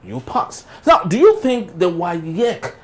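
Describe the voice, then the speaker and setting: A Nigerian-accented man with a fairly high voice and very animated intonation. He talks conversationally as a panelist on a talk show. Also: slow. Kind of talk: conversational discussion with interjections.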